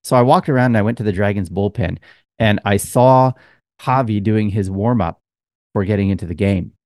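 Lower-voiced man, rambling podcast chat. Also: normal speed, darkish tone, dry recording, clean studio sound. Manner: rambling storyteller, many fillers. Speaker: podcast co-host. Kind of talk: casual chat.

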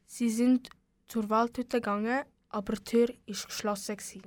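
A woman's voice: fairly high pitch, fairly even intonation, moderate pace, a little mellow, dry recording. A young female narrator of an amateur school radio play, speaking with a Swiss German accent.

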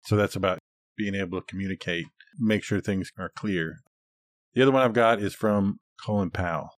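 Male co-host, lower voice, dry studio-like sound, agreeable and plain-spoken in casual discussion. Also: moderate pace, no room sound. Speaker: podcast co-host. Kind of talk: casual conversational podcast discussion.

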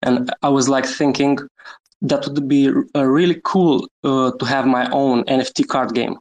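A man from Romania, a guest on a live audio chat, talking about his project with many pauses, fillers and small laughs. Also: mid-range voice, steady tone, darkish tone, low-quality recording. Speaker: guest NFT creator. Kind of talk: casual chat on a Twitter space.

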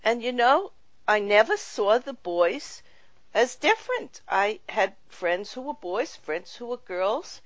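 Woman talk-show host, slow and gentle, reflective spiritual tone, dry close sound. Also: fairly high pitch, big pitch swings, dry recording, rough recording.

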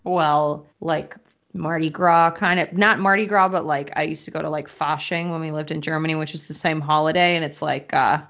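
A woman's voice: low-pitched, fairly even intonation, normal speed, fairly bright tone. A young woman from Minnesota with a Minnesotan accent, co-hosting a podcast and chatting casually, giggly and full of fillers. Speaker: podcast co-host. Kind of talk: casual chat recapping a TV episode. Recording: dull lo-fi podcast audio.

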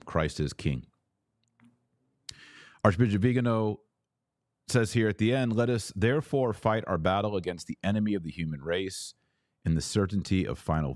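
A man hosting a religious news podcast, commenting and reading aloud with deliberate, emphatic phrasing and drawn-out words. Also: low voice, darkish tone, no room sound, studio quality. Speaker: podcast host.

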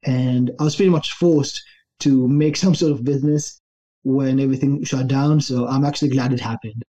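A young adult man from Israel, a fitness coach telling his story in a relaxed, easygoing interview. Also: mid-range voice, normal speed. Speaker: guest, online fitness coach.

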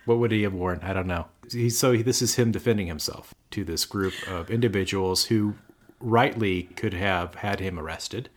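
A man's voice: low-pitched, normal speed. A man co-hosting a casual faith podcast, explaining scripture at length with joking asides. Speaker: podcast co-host.

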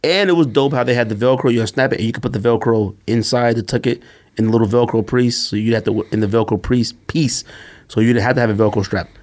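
A man's voice: mid-range voice, quick pace, a little mellow. An American man co-hosting a podcast with casual sneaker and sports banter, talking in a slangy, emphatic way and teasing argumentatively. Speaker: podcast co-host.

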